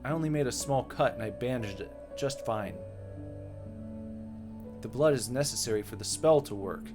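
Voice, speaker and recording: A man's voice: medium pitch, lively intonation, normal speed, natural voice. A man voicing a composed, matter-of-fact young woman who is caring and determined, in a dramatic visual novel reading. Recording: music behind the voice.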